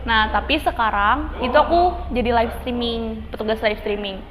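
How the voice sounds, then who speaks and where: A woman's voice: fairly high pitch, normal speed, crowd noise, low-quality recording. A woman in her twenties, a church live-streaming volunteer, talks about her role in a casual, chatty way. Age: 20s. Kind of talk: casual testimony interview. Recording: clear background hum.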